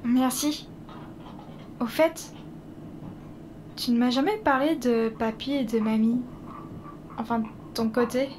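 A woman's voice: fairly high pitch, steady tone, slow, long pauses, a little mellow, a little hiss or hum. A woman playing a cool but caring young daughter in a French audio drama, casual and sincere. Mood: curious, casual.

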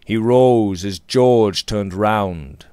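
A man in his thirties narrating a Victorian novel theatrically, with measured, emphatic phrasing, close to the microphone in a small room. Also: fairly low pitch, mellow, clear, resonant tone, no echo. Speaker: audiobook narrator. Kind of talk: dramatic reading of a Victorian novel. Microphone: studio condenser microphone on a boom arm, close up.

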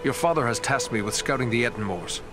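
A man acting as an earnest ranger in a fantasy video game, with a mid-pitched voice. His delivery is formal and courteous, and loud music runs under it. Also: expressive, fast, close to an everyday voice. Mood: earnest, respectful. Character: dutiful, courteous ranger on a mission. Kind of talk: scripted fantasy game dialogue. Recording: loud music over the dialogue.

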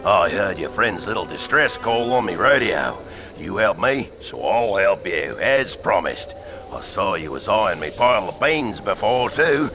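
A cheeky, boastful outdoorsman for an animated game. His low voice swoops up and down with a matey, colloquial lilt and a gravelly edge, over clear background music. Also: a little slow. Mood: cheerful, matey. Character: jovial, boastful rugged outdoorsman with a cheeky streak. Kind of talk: animated video game acting.

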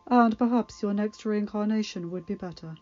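A woman voicing an ancient, kindly enchantress and old teacher, speaking slowly and fondly. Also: mid-range voice, mellow, muffled recording, soft background music, close to an everyday voice. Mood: gentle.

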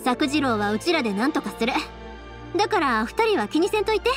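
A spirited, caring young anime game heroine with a Kansai accent. Her voice is high and very animated, earnest and colloquial, over soft music. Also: a little fast. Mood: resolute. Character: spirited, caring young woman, fireworks maker. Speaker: voice actor in a game.

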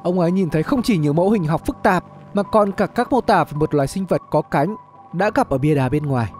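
A man with a fairly high, mellow voice narrates a scripted adventure story. His delivery is expressive and dramatic, with soft music playing underneath. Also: a little fast. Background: background music.

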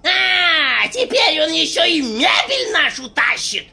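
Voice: extremely high pitch, sing-song, takes it slowly, creaky, pushed and bright, raised voice, far from the mic, full character voice. An actor voicing a small, indignant cartoon mouse, shrilly haranguing like a rabble-rouser.

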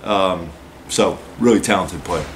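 A man in his forties, a head basketball coach, calmly answering postgame questions at a press-room table, explaining with many "uh" and "so" openers. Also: low voice, unhurried, a little hiss or hum, poor audio. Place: press room with a team backdrop, seated at a table.

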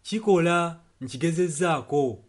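A man's voice: fairly high pitch, a little slow, music in the background. A man interpreting a sermon, following the preacher phrase by phrase. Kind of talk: spoken and sung translation of a sermon.

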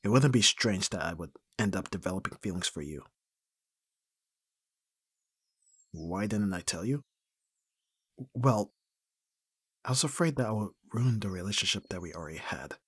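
A man acting a flustered young best friend in love in an intimate ASMR roleplay, husky and breathy, with a hesitant, stammering delivery. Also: sits low, a bit bright, no room sound, exaggerated voice. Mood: nervous confession. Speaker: audio roleplay voice actor.

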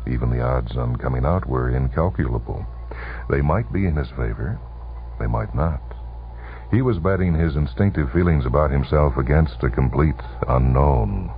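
A man reading a short story for radio in a measured, deliberate, suspenseful way. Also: extremely low pitch, creaky, slight background noise. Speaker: narrator. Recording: tinny, band-limited vintage radio broadcast. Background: music under the voice.

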